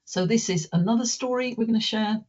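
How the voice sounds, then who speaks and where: A presenter leading an online webinar, speaking in a halting way with many pauses and restarts. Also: mid-range voice, a little mellow. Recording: muffled.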